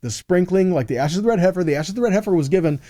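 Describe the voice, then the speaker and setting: A man with a fairly high, soft-edged, mellow voice teaches from scripture. He explains as he goes, leaning on repeated phrases and adding little check-in questions to keep listeners following. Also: expressive, rapid-fire, dry recording, studio quality. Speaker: Bible teacher. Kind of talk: sermon teaching with scripture read aloud.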